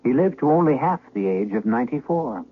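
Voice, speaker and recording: A man hosting a 1970s radio mystery, narrating from a script in a measured, dramatic storyteller's manner. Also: mid-range voice, animated, breathy edge, phone-quality sound. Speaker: host narrator. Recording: band-limited 1970s radio recording. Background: music.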